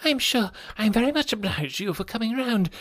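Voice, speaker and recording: A man voicing a nervous, frightened gentleman in an audiobook, with a reedy, quivering voice and a fussily polite, agitated manner. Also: very high-pitched, animated, a little fast, dry recording, clean studio sound, pitched above the performer's own voice, heavily acted. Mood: nervous, evasive. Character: nervous, jittery, very fat sickly middle-aged gentleman, frightened. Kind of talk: audiobook character voice.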